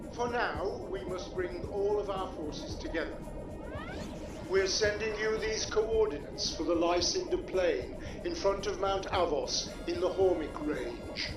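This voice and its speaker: A man playing a composed, authoritative Jedi general in a fan comic dub, formal and measured, sounding like a distant transmission. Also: high-pitched. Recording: echoey, distant, music behind.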